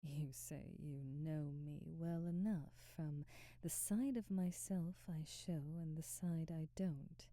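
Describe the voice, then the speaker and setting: A woman playing an elegant, domineering vampire noblewoman in an intimate ASMR roleplay, speaking softly and breathily in formal, measured phrases. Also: deep voice, fairly even intonation, no echo. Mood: amused, guarded. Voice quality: soft, breathy, whispery. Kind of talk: intimate ASMR roleplay, slow whispery delivery.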